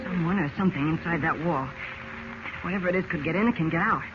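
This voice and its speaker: A man's voice: a little high, fast, natural voice. An actor playing a plucky, insistent teenage boy who helps the hero, in an old-time radio drama, bossy and earnest. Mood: wary. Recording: noisy muffled vintage radio broadcast recording.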